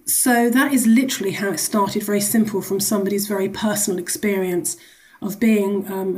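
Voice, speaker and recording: Woman, mid-pitched voice, charity executive, radio interview guest, conversational, wordy, explanatory with asides. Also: darkish tone.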